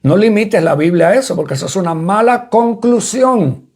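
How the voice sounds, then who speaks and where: A man's voice: high-pitched, moderate pace, a little mellow. A man from Cuba, preaching a sermon and repeating points as he explains them to listeners. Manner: repetitive, explanatory preaching that addresses listeners directly. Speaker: apostle preaching.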